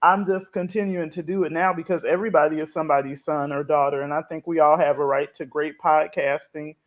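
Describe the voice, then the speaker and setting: A woman's voice: sits low, fairly even intonation, a little fast. Woman, podcast host, casual conversation, earnest explanatory delivery. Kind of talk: casual chat.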